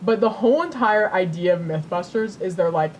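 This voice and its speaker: Female co-host, slightly low register, joking and informal, light background rumble and noise. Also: expressive, a little fast. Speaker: podcast co-host. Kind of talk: casual banter.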